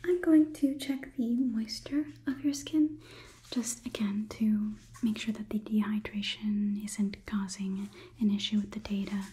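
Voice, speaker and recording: Softly whispering female robot played by a young woman. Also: a little high. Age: young adult. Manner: gentle, slow, methodical whisper. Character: polite clinical female robot overlord examining a human. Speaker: ASMR performer. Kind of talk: whispered ASMR roleplay. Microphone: close ASMR microphone.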